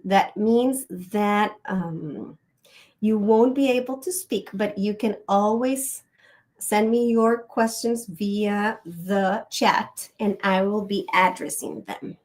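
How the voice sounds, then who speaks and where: A middle-aged woman presents a live webinar into a computer microphone. She speaks unhurriedly and at a mid-range pitch. She tells personal stories in a friendly way, with plenty of ums. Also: slow, a little mellow. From Costa Rica.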